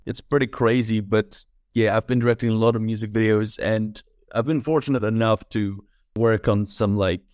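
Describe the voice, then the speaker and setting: A fairly low, smooth voice from a young man from the Czech Republic, talking in a relaxed, reflective way. He leans on fillers like "like" and "right?" as he thinks aloud. The sound is dry and close, with no room around it. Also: moderate pace, no echo. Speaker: guest filmmaker. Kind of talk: casual interview chat.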